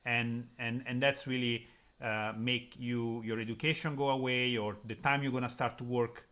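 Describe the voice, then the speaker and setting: A man's voice: mid-range voice, little pitch movement, normal speed, sharp tone. Man hosting an interview channel. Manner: friendly, hesitant with frequent uh fillers, non-native phrasing. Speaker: YouTube channel host, interviewer. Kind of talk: video call interview.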